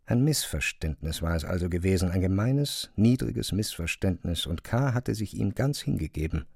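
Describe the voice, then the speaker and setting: A man's voice: deep voice, animated, moderate pace, gravelly, a little mellow, husky, no echo, studio quality. A man reading scripted literary narration as the narrator of a drama.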